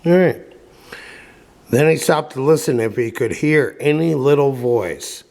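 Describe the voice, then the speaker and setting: A man reading a children's story aloud as narrator, with deliberate dramatic pauses. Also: mid-range voice, creaky, a little mellow. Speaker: audiobook reader.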